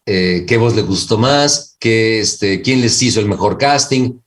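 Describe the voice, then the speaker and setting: A man's voice: fairly low pitch, measured pace. A man with a Mexican accent, a guest voice actor, explaining things emphatically in casual chat. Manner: emphatic, didactic, uses filler 'este'.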